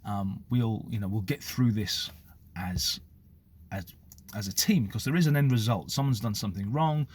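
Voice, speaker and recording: A middle-aged man from the United Kingdom with a British accent, a former police officer, speaking to the camera in a measured, explanatory way. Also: fairly low pitch, a little mellow, slightly rough. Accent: British English. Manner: measured, explanatory, occasional fillers. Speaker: former police officer giving his view. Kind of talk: direct-to-camera opinion talk.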